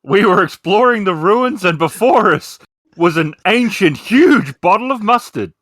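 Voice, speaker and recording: A man's voice: high voice, animated, unhurried, a bit bright. A man acting as game master in a tabletop podcast, switching between improvised narration and dry, joking casual talk.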